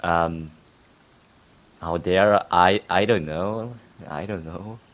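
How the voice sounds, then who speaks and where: A man's voice: low voice, slow pace, a bit bright. A man streaming a video game, commenting casually and offhand, joking and musing aloud as he plays. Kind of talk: casual live gameplay commentary. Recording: game music behind the voice. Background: video game music and sounds.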